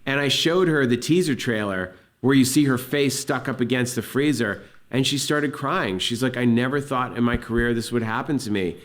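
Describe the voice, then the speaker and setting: A man in a played interview clip, telling a story in an animated way. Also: medium pitch, moderate pace, few pauses.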